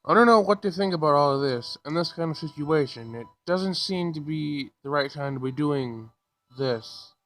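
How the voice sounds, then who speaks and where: Visual novel role: shy, sincere young man read by a male player, hesitant and slightly higher-voiced. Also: expressive, normal speed, fairly bright tone, low-quality recording. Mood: hesitant, earnest. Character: shy, earnest young man confessing feelings.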